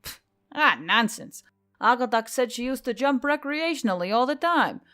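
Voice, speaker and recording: A woman voicing a brisk, confident woman with an emphatic, dismissive manner. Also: on the high side, expressive, bright, forceful tone, faint music behind, voice pushed up. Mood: assured, dismissive.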